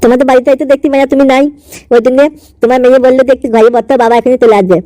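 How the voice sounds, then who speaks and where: A woman's voice: high-pitched, fairly even intonation, very fast, dark tone, dry recording, natural voice. A woman voicing a cheeky, pushy, foul-mouthed schoolboy in a cartoon comedy, talking in brash, wheedling slang. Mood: nervous, excusing.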